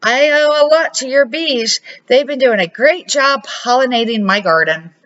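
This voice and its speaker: A woman's voice: high-pitched, moderate pace, fairly bright tone, pitched above the performer's own voice. An older woman voicing a cheerful, friendly neighbor man in a children's storybook. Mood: friendly, grateful. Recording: dull lo-fi home recording.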